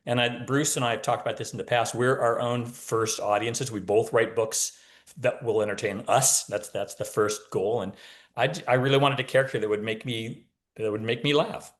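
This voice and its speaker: A man's voice: mid-range voice, fairly even intonation, moderate pace. A man speaking as an author guest in a casual interview, explaining his craft in a talkative, wry manner.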